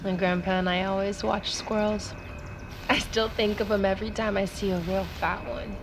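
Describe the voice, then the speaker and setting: A woman's voice: medium pitch, flat delivery, moderate pace, natural voice. An actress playing a young woman who guides someone through memories in an audio drama, confiding and explanatory. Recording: music behind the voice.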